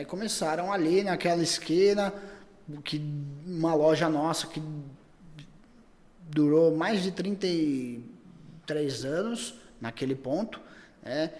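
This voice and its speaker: A man's voice: on the high side, a little mellow, big-room sound. A middle-aged man, talking at length in a casual podcast chat and often restarting his words. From Brazil. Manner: talks at length, many repeated words and restarts. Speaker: pet store chain owner, guest.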